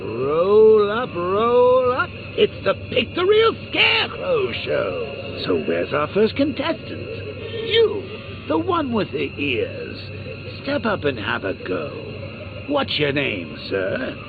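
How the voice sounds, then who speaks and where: Gravelly clown villain from a game, slow and sing-song, swinging wild up and down, tinny sound with music behind. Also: male voice, high voice, huge pitch swings, heavily acted. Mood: showman. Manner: mocking, theatrical, swings between whispers and shouts. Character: gleeful taunting male clown villain.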